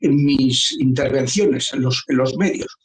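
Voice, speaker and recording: A man in his seventies, a priest, giving earnest, measured explanations in an interview. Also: mid-range voice. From Spain. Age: 70s. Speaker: priest and guest. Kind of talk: interview answers. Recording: echoey room.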